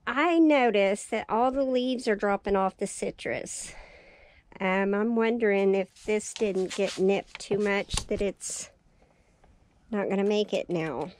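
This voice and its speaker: A woman walking through her greenhouse, chatting cheerfully about her seedlings, her voice raspy and tired from lack of sleep. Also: medium pitch, slow, darkish tone. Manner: chatty, cheerful, thinking aloud. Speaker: farm vlogger. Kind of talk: casual walkthrough narration. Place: small greenhouse, indoors.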